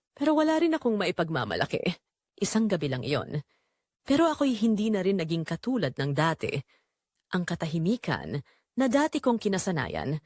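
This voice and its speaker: Audio drama narrator: wistful young man female performer, earnest, dramatic, lively intonation, dry sound. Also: medium pitch, dry recording. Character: wistful young man recalling a secret romance. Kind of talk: scripted first-person audio drama narration.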